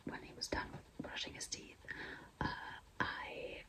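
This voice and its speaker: A young woman whispering in a breathy, mostly unvoiced voice, chatting confidingly and casually to viewers as a vlogger telling a personal story. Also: measured pace, sharp tone. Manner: confiding, conversational, frequent "like" and "you know".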